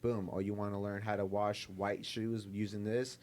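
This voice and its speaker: A man's voice: fairly low pitch, level tone, normal speed, a little mellow. A young man talking casually and with slang on a group podcast. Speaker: podcast panelist.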